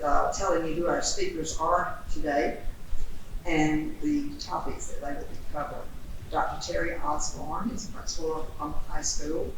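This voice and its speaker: A woman hosting a local business event, talking loudly and in a friendly, slightly rambling way. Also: low-pitched. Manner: loud, friendly, lightly rambling. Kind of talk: welcoming remarks at a community business event. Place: new school auditorium. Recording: echoey auditorium from far off, noisy.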